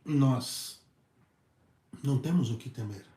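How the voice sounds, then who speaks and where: A hoarse male priest with a Brazilian Portuguese accent, preaching earnestly in halting phrases and often repeating his words. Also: medium pitch, warm tone. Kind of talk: preached talk on a video channel. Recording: echoey room.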